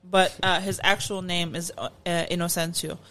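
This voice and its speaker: Female podcast co-host, American accent, slightly low voice, informal explainer in relaxed conversational chat. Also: moderate pace. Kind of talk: casual food podcast chat.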